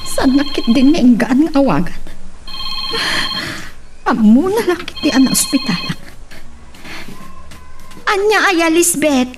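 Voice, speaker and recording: A woman's voice: extremely high pitch, wildly swooping pitch, a little slow, pauses often, music in the background, exaggerated voice, poor audio. A woman playing a wounded, reproachful aunt in a radio family drama. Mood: hurt, reproachful.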